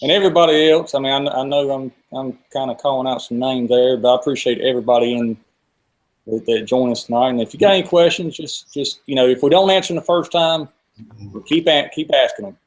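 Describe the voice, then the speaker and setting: Male host, 40s, Southern US accent. Loose, repetitive, chatty delivery at an average pitch. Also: steady tone, fast talker, low-quality recording. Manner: rambling, repeats himself. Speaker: host of a fishing live stream. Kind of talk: casual live-stream chat.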